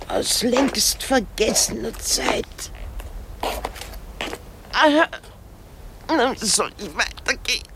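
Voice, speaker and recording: A woman's voice: fairly high pitch, noisy background, heavily acted. An actress playing an old, self-pitying, hysterical married woman in a radio drama, rambling theatrically with sighs and exclamations. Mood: lamenting, self-pitying. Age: older.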